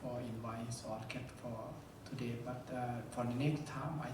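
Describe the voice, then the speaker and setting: Man, slow and monotone, broken English, distant in a very echoey hall. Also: medium pitch. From Thailand. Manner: halting, simple broken English. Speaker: audience member. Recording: echoey hall, distant mic, background noise.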